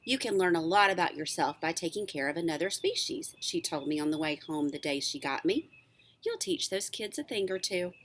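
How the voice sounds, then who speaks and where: A woman, a teacher reading a children's book aloud in an expressive, animated storytelling way. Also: lowish voice, brisk.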